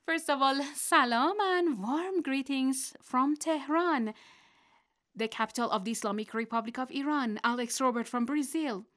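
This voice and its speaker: A woman presenting a radio segment where she answers listener mail, speaking in a measured, polite and formal way. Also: high-pitched, a bit bright. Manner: measured, polite and formal, reads letters aloud.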